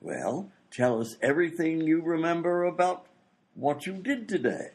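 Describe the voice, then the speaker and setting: A man's voice: on the high side, expressive, moderate pace. A man playing a fussy, absent-minded British doctor and inventor in a comedy radio play, blustering and full of hesitations. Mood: dithering.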